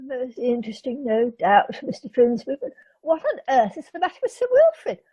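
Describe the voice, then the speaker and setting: A woman's voice: high-pitched, big pitch swings, fairly quick, mellow, husky, no room sound, full character voice. A woman acting a prim, haughty society lady in an amateur radio play, formal in manner.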